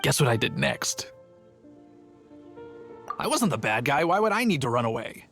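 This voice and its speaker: A man's voice: mid-range voice, big pitch swings, normal speed, vocal fry, over music. An actor playing a chatty, earnest young male town guard telling his tale in a video game. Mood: dramatic, earnest. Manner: storytelling, earnest.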